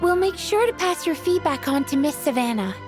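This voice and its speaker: A timid young restaurant worker in a video game. She speaks in a high voice, courteous and apologetic, with clear music behind her. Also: animated, brisk. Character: polite, timid young female restaurant employee.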